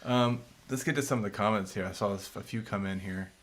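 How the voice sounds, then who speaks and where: A man's voice: on the low side, quick pace. A man in his thirties, co-hosting a casual chat and reading viewer comments aloud in a laid-back, chatty way. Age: 30s. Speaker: co-host.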